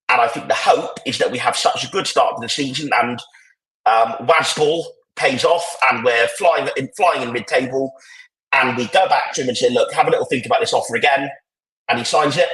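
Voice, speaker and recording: A man's voice: mid-range voice, a bit bright. Tired man talking football on a podcast. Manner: rambling, opinionated, many restarts. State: croaky and tired. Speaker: podcast panelist. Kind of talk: casual podcast discussion. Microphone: AirPods on a video call. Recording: echoey, poor sound quality.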